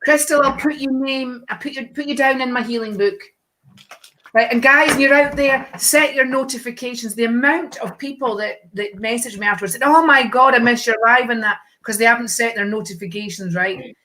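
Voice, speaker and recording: A woman's voice: fairly high pitch, moderate pace, pushed and bright, rough recording. A woman co-hosting a psychic live stream, bubbly and teasing, often asking "right?" to get agreement. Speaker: psychic medium co-host. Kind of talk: live-stream casual chat.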